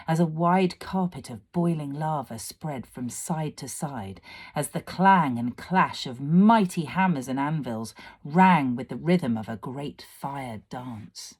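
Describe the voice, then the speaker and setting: Slow, low-voiced woman storyteller. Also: a little mellow, husky. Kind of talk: expressive scripted story narration.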